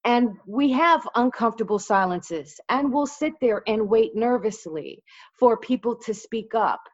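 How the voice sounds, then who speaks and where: Earnest woman teacher, dull audio. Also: fairly high pitch. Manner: earnest, emphatic, reflective. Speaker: Spanish teacher. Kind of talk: conversational interview.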